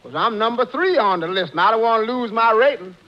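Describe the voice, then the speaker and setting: An actor playing a droll tavern employee, a wry underdog who answers with dry wisecracks in 1940s radio comedy style. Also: male voice, high-pitched, animated, brisk, heavy background noise. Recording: dull 1940s radio recording.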